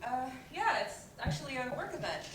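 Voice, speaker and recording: A woman's voice: high voice, very animated, normal speed, fairly bright tone. A woman playing a breezy, affectionate older sister in a play reading, chatty and natural. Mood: flustered, apologetic. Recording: roomy, distant mic, noisy background.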